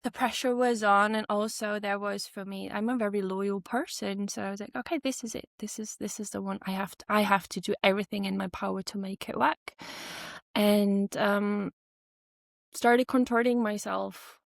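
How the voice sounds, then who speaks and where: A woman's voice: mid-range voice, steady tone, moderate pace, creaky, dry recording, studio quality. A woman in her thirties from Austria, a podcast guest telling her personal story in a chatty way, often laughing and restarting her sentences.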